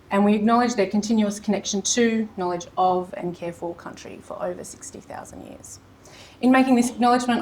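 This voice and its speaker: A woman giving a prepared, formal acknowledgement to an audience as an event host, in a measured manner. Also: medium pitch, a little mellow, a little hiss or hum, poor audio. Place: seminar room with audience.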